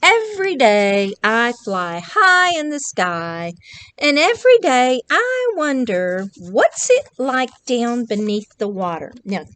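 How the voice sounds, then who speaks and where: A woman, reading a picture book aloud to children and pausing to ask them simple questions. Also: on the high side, fairly bright tone, slight background noise. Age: 60s. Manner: questioning, explains simply to young listeners. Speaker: children's librarian reading at storytime. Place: indoors, small decorated library room.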